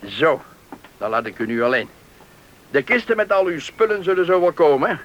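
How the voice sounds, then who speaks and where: An actor playing a helpful, talkative settlement official who politely explains things to newcomers in a Dutch radio play. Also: male voice, a little high, sing-song. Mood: helpful, explaining. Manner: explanatory, polite, brisk guide. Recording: old radio play, faint background noise.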